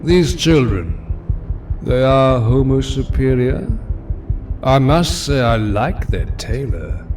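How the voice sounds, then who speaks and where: An actor playing a menacing, grandiose middle-aged supervillain in an audio drama, measured and imperious. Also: male voice, medium pitch, animated, a little mellow, soft background music. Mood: smug, mocking.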